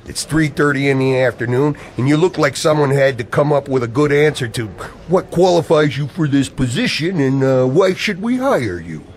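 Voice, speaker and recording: A man's voice: medium pitch, expressive, normal speed, few pauses, close to an everyday voice. An actor playing an edgy, secretive man in radio play dialogue, coy and evasive with an old friend. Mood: sly, confident. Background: music.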